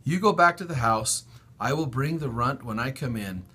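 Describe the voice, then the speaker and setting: A man voicing a gentle, reasonable farmer father with dry humour in a storybook, explaining in a measured way. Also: mid-range voice, lively intonation, breathy edge. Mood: relenting.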